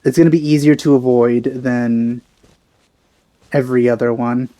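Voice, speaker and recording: Mellow male gamer thinking aloud. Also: medium pitch, steady tone, normal speed. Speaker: let's play gamer. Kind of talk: casual blind playthrough commentary.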